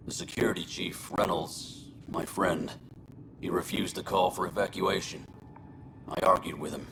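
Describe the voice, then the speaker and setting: An actor playing a weary, resigned colonist imprisoned for murder, speaking in a halting, subdued way in a video game. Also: male voice, sits low, fairly even intonation, creaky, very noisy. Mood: resigned, regretful.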